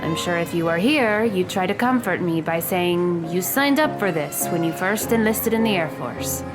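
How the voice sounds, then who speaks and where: A woman playing a guarded high school girl who speaks in precise, matter-of-fact and formal words in an audio drama. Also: expressive, normal speed, over music, natural voice. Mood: sombre, reflective.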